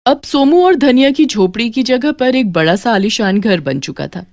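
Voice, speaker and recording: A woman's voice: on the high side, expressive, normal speed, a little mellow, no room sound. Female story narrator over faint music. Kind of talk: scripted children's story narration.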